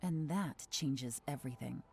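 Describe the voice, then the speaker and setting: A woman's voice: very deep voice, a little slow, vocal fry, a little mellow, exaggerated voice. A woman playing a cool, shrewd investigator in a video game, speaking with composed deliberation. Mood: analytical. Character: cool, shrewd adult female investigator and spymaster.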